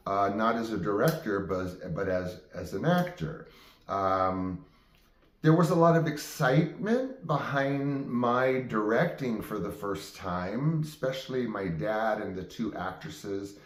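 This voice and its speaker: A man's voice: on the low side, roomy sound. A man hosting his own show, telling stories off the cuff in a casual, rambling way that often breaks off and restarts. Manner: rambling, self-interrupting. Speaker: host of own game show. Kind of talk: casual improvised storytelling to viewers.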